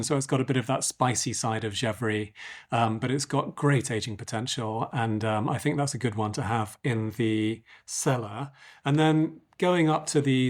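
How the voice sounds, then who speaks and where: A man with a slightly croaky voice talks through wine tastings on a podcast. His delivery is casual and unhurried, sitting at a middle pitch and peppered with ums as he gathers his thoughts. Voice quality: hesitant, frequent ums. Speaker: wine merchant podcast co-host. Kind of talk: casual expert discussion.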